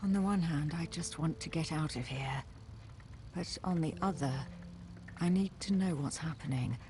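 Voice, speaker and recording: A woman playing an uneasy, introspective therapist in a brooding, measured video game monologue. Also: low voice, a little mellow, slight background noise, close to an everyday voice. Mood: torn, fearful.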